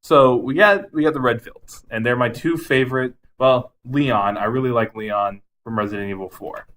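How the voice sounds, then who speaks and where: A man's voice: mid-range voice, moderate pace, fairly bright tone. A man co-hosting a podcast in casual chat about movies, often chuckling as he talks. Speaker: podcast co-host.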